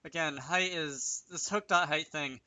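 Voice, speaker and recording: A man's voice: fairly high pitch, measured pace, sharp tone, dry recording. A man giving casual, unscripted commentary over a screen recording, hesitant and thinking aloud as he works. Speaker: game developer hosting a tutorial series.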